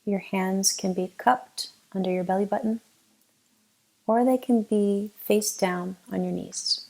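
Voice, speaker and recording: A woman leading a guided meditation as a teacher, giving gentle, unhurried instructions with long pauses. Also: medium pitch, steady tone, vocal fry, poor audio.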